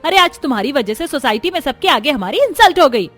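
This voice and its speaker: A woman's voice: high-pitched, big pitch swings, fast, a bit bright. A woman voicing a bossy middle-aged mother-in-law who scolds and commands in a cartoon family story. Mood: angry scolding. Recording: music behind the voice.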